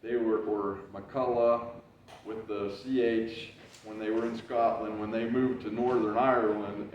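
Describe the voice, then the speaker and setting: A man with a fairly low, loud voice talks loosely to an audience, circling back with plenty of hesitations. He is heard from well away from the microphone, in a strongly echoing room. The sound is dull and muffled, with a faint hum underneath. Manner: loose, rambling telling with many "uh"s and restarts. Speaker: historical reenactor giving a library talk. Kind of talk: casual talk to an audience. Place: library meeting room. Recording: very echoey, distant, dull room recording.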